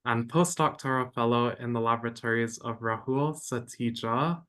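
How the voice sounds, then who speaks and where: A man hosts a program and introduces a guest speaker. He reads in a measured, formal way, then gives warm thanks. He sounds like he is on a video call. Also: medium pitch.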